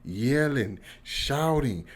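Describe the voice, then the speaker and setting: A man's voice: medium pitch, very slow. A man with an American accent giving a casual, slangy, emphatic one-take review as a YouTuber. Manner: slangy, informal, excitable, emphatic.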